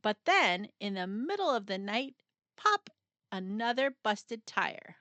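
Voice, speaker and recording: A woman narrating a children's picture book aloud in a dramatic, expressive storytelling manner with suspenseful pauses. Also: medium pitch, dry recording.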